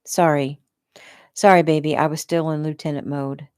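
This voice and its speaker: A woman's voice: deep voice, fairly even intonation, normal speed, a little mellow, clear, resonant tone, no room sound, clean studio sound, lightly acted. A woman doing a stern police lieutenant. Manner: firm, scolding. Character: man, police lieutenant, stern but good-humored.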